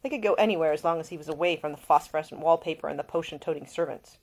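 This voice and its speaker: A woman reading her own fiction aloud as scripted audiobook narration, with an expressive storytelling delivery. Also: deep voice, racing. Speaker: author reading own novel.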